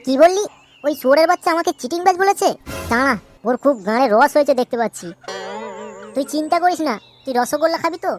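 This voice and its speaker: Brash cartoon schemer, woman acting, high and rapid, coarse, argumentative comic delivery. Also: faint music behind, lightly acted. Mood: indignant. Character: brash cartoon trickster and naive shopkeeper's child. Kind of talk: Bengali comedy cartoon voice acting.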